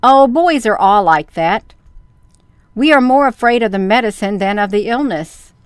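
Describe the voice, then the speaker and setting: A man's voice: sits high, lively intonation, moderate pace, clear, resonant tone, dry recording. Lady reading as a sheepish little wooden puppet boy from a storybook, earnest, making excuses.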